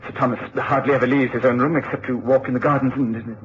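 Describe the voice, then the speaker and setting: An actor playing a fearful English lord in an old radio drama, stammering and pleading anxiously. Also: male voice, mid-range voice, fairly even intonation, fast talker, lightly acted. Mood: flustered, eager. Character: nervous, fearful English lord, desperate for company. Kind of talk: 1960s radio drama acting. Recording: dull, noisy old radio recording.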